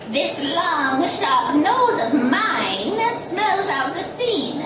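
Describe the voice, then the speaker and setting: A woman's voice: sits high, sing-song, very slow, exaggerated voice. A woman playing a mysterious old good witch who chants sing-song rhymes in a 1940s radio serial. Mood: eerie, scheming. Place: live performance in a coffee house. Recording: distant echoey live room with clear noise.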